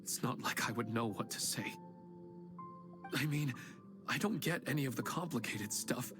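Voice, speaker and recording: An actor playing a humble, sincere middle-aged father who talks in a gentle, plain-spoken way, over game music. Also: medium pitch, animated, moderate pace, close to an everyday voice. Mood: earnest. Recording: game audio with music behind.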